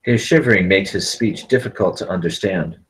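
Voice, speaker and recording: Male narrator for screenplay action lines at a table read, notably low-pitched voice. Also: moderate pace, a bit bright, rough recording. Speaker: stage-direction narrator.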